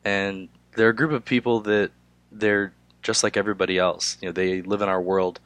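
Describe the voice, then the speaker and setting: Hoarse young man with a cold. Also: lowish voice, little pitch movement, a bit bright, dry recording. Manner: halting, many filler "uh"s. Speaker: student presenter. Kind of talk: class presentation.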